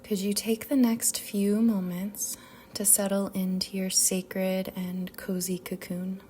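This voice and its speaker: A woman guiding a meditation in a soft, whispery-edged voice, speaking gently and soothingly with long pauses. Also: medium pitch, little pitch movement, a little hiss or hum. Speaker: yoga nidra meditation guide. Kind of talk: guided meditation narration. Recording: soft music bed behind voice. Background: music.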